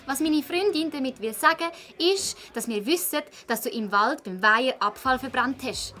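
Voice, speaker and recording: Schoolgirl sleuth played by a woman, Swiss German, high-pitched and crisp-edged, earnest and insistent, for a kids' radio play. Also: lively intonation, moderate pace, bright, forceful tone. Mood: accusing. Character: earnest, indignant schoolgirl detective, cautious. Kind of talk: children's audio drama acting.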